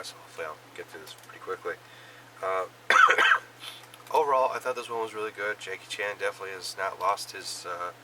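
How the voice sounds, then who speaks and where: Hoarse man with a cold, very low voice, rambling recap, mic set back, noisy background. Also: far from the mic. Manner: rambling, many fillers and restarts. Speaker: vlogger reviewing films and shows. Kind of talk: casual monthly watch recap.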